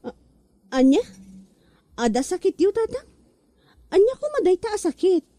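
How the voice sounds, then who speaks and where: A woman's voice: very high voice, big pitch swings, moderate pace, warm tone, no room sound, studio quality. A woman with an Ilocano accent voicing a puzzled young child who chides and questions a parent in a comedy drama. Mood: puzzled, chiding. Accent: Ilocano, northern Philippines.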